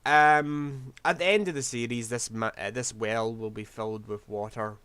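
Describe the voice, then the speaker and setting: A man's voice: mid-range voice, fairly even intonation, moderate pace, a bit bright, clear, resonant tone, dry recording. A man, rambling through casual gameplay commentary while losing his voice. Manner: rambling, scatterbrained, self-deprecating. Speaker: game streamer.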